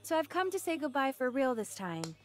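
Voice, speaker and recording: High-voiced, brash young woman fighter. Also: big pitch swings, a little fast. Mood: gentle, resolute. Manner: casual, wry, slangy. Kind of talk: video game cutscene acting. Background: music.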